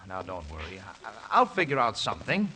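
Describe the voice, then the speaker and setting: Earnest young man, lively intonation. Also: on the low side, fairly quick, background noise. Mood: earnest, reassuring. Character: earnest, stubborn, reassuring young man, dutiful son. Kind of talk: 1950s radio acting. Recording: vintage 1950s radio broadcast. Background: orchestral music.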